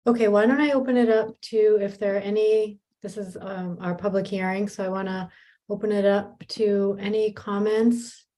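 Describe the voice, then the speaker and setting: A woman chairing a remote public hearing who briskly invites comments in an informal way. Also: mid-range voice, fairly even intonation, mellow. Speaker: meeting chair. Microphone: video call.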